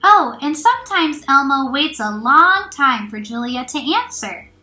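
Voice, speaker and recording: Friendly little furry monster, read by a woman: high, unhurried, simple explainer for a storybook, roomy sound. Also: big pitch swings, sharp tone, heavily acted. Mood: earnest. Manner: simple, explaining, speaks of self in third person. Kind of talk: children's storybook read-aloud. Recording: roomy echo, faint music.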